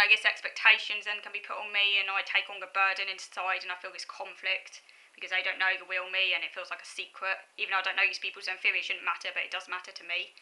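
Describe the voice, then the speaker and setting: A woman's voice: mid-range voice, pushed and bright, low-quality recording. A thirty-year-old woman with a southern English accent and a youthful-sounding voice, talking casually to camera in a hesitant, reflective, wandering way. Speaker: vlogger. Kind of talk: casual personal talk to camera. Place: small furnished room at home, indoors.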